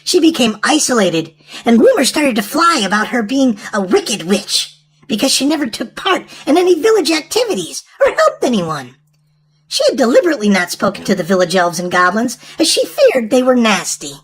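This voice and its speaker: A woman reading a storybook aloud to young children in an animated, sing-song way. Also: a little high, moderate pace. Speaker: children's storytime host and reader.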